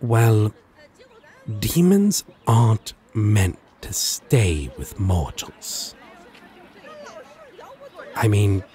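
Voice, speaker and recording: Slow, low-voiced flirty demon played by a man. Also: a little mellow, a little hiss or hum, heavily acted. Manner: teasing, halting, dramatic delivery. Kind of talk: ASMR romance roleplay acting.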